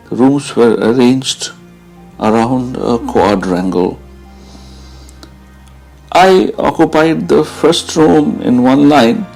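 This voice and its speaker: Slow, slightly gravelly man reading a memoir. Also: medium pitch, darkish tone. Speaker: narrator reading a first-person account. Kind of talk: dramatised audiobook reading of a memoir.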